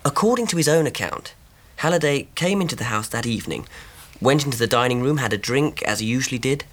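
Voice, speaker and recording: Radio drama: amateur investigator, young husband, mid-pitched breathy voice, logical and deliberate. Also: male voice, expressive, a bit bright. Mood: reasoning. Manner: reasoned, deliberate, well-spoken.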